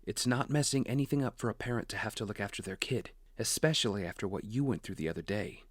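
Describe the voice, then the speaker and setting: An actor playing a gentle, wise, steady father in an audio drama, speaking in a measured, reassuring way. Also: lowish voice, slightly rough, no echo, studio quality. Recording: music under the voice.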